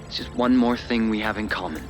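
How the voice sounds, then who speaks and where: A man's voice: on the low side, music in the background, lightly acted. A man playing an entitled, powerful suitor in a video game cutscene, speaking formally and condescendingly with menacing politeness. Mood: smug persuasion. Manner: formal, measured, condescending, self-assured.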